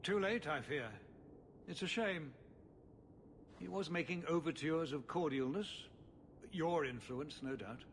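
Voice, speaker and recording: Anxious aristocratic ruler, lighter-pitched voice, measured, dryly resigned, video game drama, slight background noise. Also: male voice. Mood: weary, wry. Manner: formal, measured, wry.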